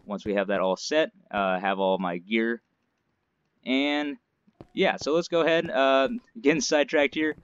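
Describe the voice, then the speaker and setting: A man narrates his survival game session in a loose, rambling way, dropping fillers as he builds. His nose is stuffed up from allergies, and his mid-range voice comes through slightly dull, lo-fi audio. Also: medium pitch. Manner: chatty, rambling, many fillers. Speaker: gaming channel host. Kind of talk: casual let's-play commentary.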